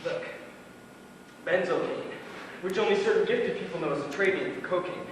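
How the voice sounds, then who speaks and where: Man acting high-voiced pompous stoner, echoey stage. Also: normal speed, a crowd in the background, lightly acted. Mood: smug. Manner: pompous, pedantic explaining. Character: smug male drug user, pompous self-styled amateur chemist. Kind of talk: live stage play acting. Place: stage of a small theatre. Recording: very echoey hall, far mic, noisy.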